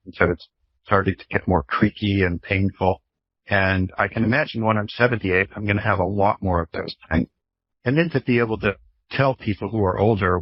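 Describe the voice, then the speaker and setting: A man's voice: deep voice, slightly rough, no room sound, muffled recording. A man in his fifties, a professor explaining ideas conversationally as an interview guest, using examples and rhetorical questions. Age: 50s. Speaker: professor, author and guest expert. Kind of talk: explanatory interview talk with illustrative anecdotes.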